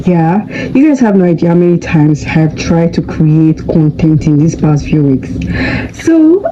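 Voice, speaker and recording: A young woman in her twenties chatting playfully to camera in a casual vlog, laughing often and jumping into high-pitched emphasis. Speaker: vlogger. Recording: dull lo-fi sound with clear background noise.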